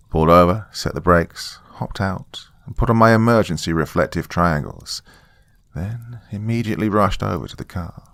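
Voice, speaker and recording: A man giving a dramatic, scripted first-person horror narration, suspenseful and deliberate, with pauses for effect. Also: deep voice, fairly bright tone, no room sound.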